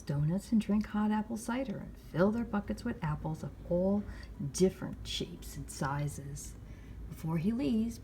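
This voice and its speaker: A woman hosting storytime, reading a picture book aloud to young children with measured, expressive phrasing. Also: on the low side, slight background noise. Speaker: library storytime host.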